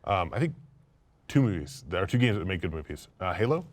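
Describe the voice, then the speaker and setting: A man's voice: deep voice, big pitch swings, a little fast, dry recording. A man talking informally as a panelist on a casual discussion show. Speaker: panelist on a video game and movie discussion show. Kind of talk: casual chat.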